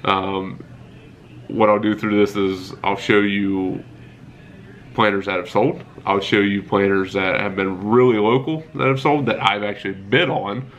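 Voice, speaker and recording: A man's voice: on the low side, fairly even intonation, measured pace, a bit bright, muffled recording, a little hiss or hum. A young man with a cold and a stuffy nose, casually walking viewers through his thinking as a farmer vlogger, with lots of ums and self-corrections. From Midwestern United States. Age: young adult. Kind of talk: casual walkthrough talk to viewers.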